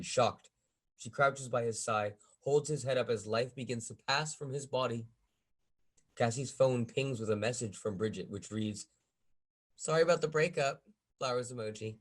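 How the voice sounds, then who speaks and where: A man reading stage directions as the narrator of a script table read. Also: medium pitch.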